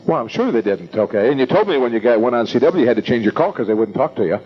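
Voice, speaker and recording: An older American man hosting an evening meeting, introducing speakers informally in a halting, rambling, folksy way. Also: medium pitch, big pitch swings. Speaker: event host introducing speakers. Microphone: event microphone. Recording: dull lo-fi event recording.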